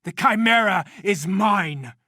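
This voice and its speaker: An actor playing a megalomaniac mutated scientist villain in a video game, menacing, theatrical and contemptuous. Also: male voice, high voice, animated, slow pace, sharp tone, no room sound, clean studio sound, heavily acted. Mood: mocking. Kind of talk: dramatic video game voice acting.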